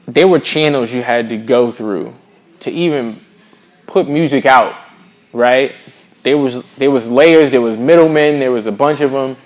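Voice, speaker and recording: A middle-aged man from Boston with an American accent, speaking as a singer-songwriter in a casual interview, earnest and reflective with plenty of slang. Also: mid-range voice. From Boston, USA.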